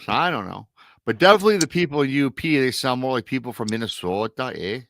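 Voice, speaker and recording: A man's voice: mid-range voice, lively intonation, quick pace, fairly bright tone, no room sound, studio quality. A middle-aged man with a Michigan American accent, hosting a casual talk show in a rambling, repetitive way. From Michigan, USA. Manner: rambling, repeats himself. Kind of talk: casual chat.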